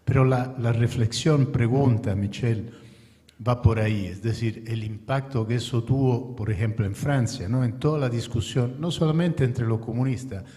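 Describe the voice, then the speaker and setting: A man's voice: medium pitch, normal speed, mellow. A man, an audience member winding his way toward a question. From Italy. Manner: discursive, building up to his question. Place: lecture hall. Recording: long reverb in a hall.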